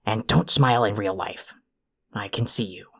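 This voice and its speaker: An actor as a gravelly, irritable alien troll. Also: medium pitch, moderate pace, a bit bright. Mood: pompous, grumpy. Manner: ranting, insulting, pompously wordy. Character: irritable, foul-mouthed, bossy alien troll, self-important. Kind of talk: audio drama acting.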